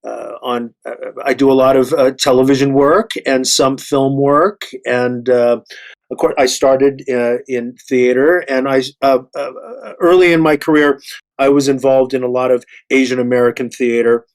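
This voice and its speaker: A man speaking casually as a panel guest, halting and repeating words, with a modest manner. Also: medium pitch, steady tone. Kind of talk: casual self-introduction.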